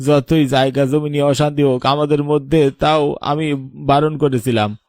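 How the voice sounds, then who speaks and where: A man's voice: on the high side, fairly even intonation, moderate pace, darkish tone, no room sound, studio quality, close to an everyday voice. A man playing a grieving, regretful elder brother in a village cartoon, lamenting and justifying himself melodramatically. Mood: anguished regret.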